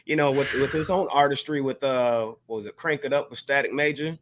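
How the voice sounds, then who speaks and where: A man's voice: mid-range voice, fairly even intonation, fairly quick, background noise. A man co-hosting a hip-hop show, chatting casually in informal slang and searching for words as he goes. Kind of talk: casual music discussion.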